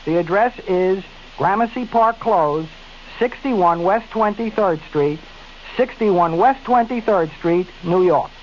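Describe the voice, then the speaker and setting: High-voiced radio ad announcer man. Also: slow pace. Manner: emphatic, repeating the address. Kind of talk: commercial read. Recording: dull lo-fi 1970s radio with hiss.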